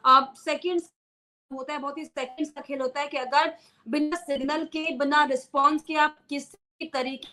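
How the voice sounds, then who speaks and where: A woman's voice: high voice, steady tone, moderate pace, bright, forceful tone. A woman news presenter giving an unscripted explainer to viewers, repeating key phrases for emphasis.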